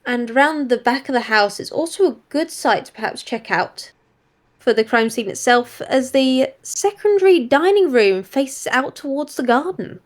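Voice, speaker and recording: A woman reading role-play story narration aloud in an expressive style. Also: high voice, normal speed.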